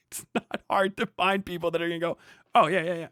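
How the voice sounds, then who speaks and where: A man's voice: high voice, flat delivery, fairly quick, a bit bright, smooth, no room sound, studio quality. A man doing heated live reaction commentary as a stream host, profane, combative and mocking. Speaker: livestream host reacting to a clip.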